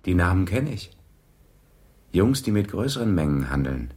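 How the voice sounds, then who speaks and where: A man's voice: low voice, very animated, normal speed, a little mellow, slightly rough, heavily acted. An actor playing a laconic, skeptical male police detective in a radio crime drama, terse and dry in manner. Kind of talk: 1980s German radio crime drama dialogue.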